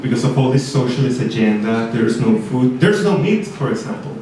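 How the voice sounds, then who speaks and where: Comedian in a roomy club. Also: male voice, medium pitch, expressive, fairly quick, dark tone, slight background noise. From Venezuela. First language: Spanish. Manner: self-deprecating, rambling asides. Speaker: stand-up comedian. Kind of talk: stand-up comedy set. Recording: roomy club sound from far off. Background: audience laughter.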